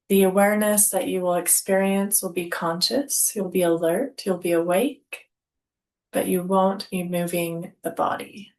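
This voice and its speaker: A woman leading a guided yoga nidra relaxation as instructor, speaking calmly in short, deliberate phrases with pauses. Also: mid-range voice.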